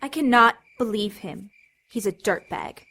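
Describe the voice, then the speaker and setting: A woman's voice: mid-range voice, lively intonation, unhurried, gravelly, background noise. A woman playing an angry, hurt, domineering teenage girl in an audio drama. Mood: angry, demanding. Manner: bullying, hot-tempered, demanding.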